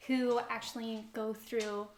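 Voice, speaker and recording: A woman's voice: on the high side, flat delivery, measured pace, roomy sound, slight background noise, low-quality recording. A young woman in her twenties, a podcast guest talking eagerly with frequent ums. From grew up in Singapore, born in Texas. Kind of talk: casual podcast interview. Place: living-room podcast studio. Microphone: desk mic on a boom arm.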